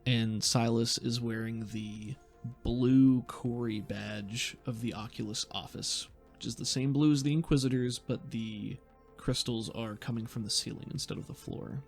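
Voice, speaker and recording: A game master improvising narration for a tabletop game in a halting, thoughtful way. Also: mid-range voice, fairly even intonation, darkish tone, faint music behind.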